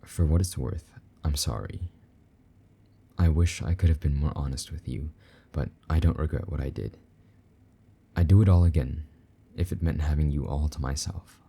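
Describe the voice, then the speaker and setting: Very deep, dark, husky demon lover played by a man. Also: exaggerated voice. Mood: regretful, possessive. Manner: soft, slow-burning, gently coaxing endearments. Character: possessive, tender, lonely male demon lover. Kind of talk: intimate romantic audio roleplay to listener.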